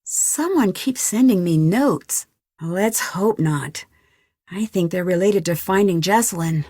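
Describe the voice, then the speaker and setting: A woman's voice: fairly low pitch, takes it slowly, darkish tone, no echo, clean studio sound. An actress playing a curious, level-headed young female detective, chatting earnestly and a little wryly in a video game. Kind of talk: conversational game dialogue, one side of phone calls.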